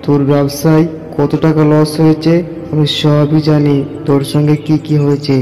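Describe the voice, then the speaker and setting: A man's voice: on the high side, completely flat delivery, moderate pace, warm tone, close to an everyday voice. A man playing an all-knowing, generous river spirit in a cartoon fairy tale, solemn and commanding, in long reverb. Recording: long cavernous reverb, music behind.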